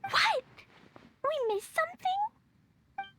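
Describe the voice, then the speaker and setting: A woman voicing a cheerful, innocent, excitable little girl in a video game. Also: very high voice, very slow, slight background noise, exaggerated voice. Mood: curious. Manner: childlike, excitable.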